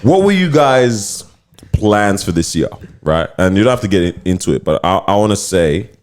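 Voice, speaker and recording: A man's voice: fairly low pitch, lively intonation, normal speed, darkish tone. A man hosting a casual podcast chat, laughing often and teasing his friends. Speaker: podcast host.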